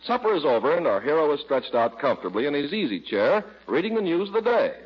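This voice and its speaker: A man announcing on 1940s radio, reading sponsor copy and scene narration with a polished, emphatic salesman delivery and husky voice. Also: on the high side, sing-song, fast talker. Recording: dull vintage radio recording.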